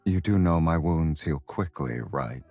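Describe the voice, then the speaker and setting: Smug flirty game love interest, super low slow voice, breathy, music behind. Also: male voice, darkish tone. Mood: teasing. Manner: unhurried, playful teasing. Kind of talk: romance game voice acting.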